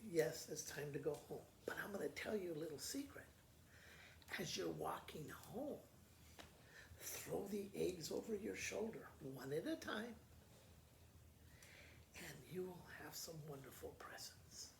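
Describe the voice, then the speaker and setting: A man voicing a kindly, patient old woman in a storybook, speaking gently. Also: fairly high pitch, lively intonation, vocal fry, roomy sound, a little hiss or hum. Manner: gentle, unhurried, repeating.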